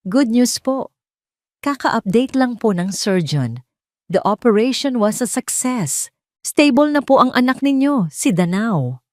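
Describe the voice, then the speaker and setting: A woman's voice: fairly high pitch, big pitch swings, unhurried, darkish tone, no room sound, studio quality, pitched above the performer's own voice. A woman with a Filipino accent playing a cheerful, polite, upbeat hospital nurse in an audio drama.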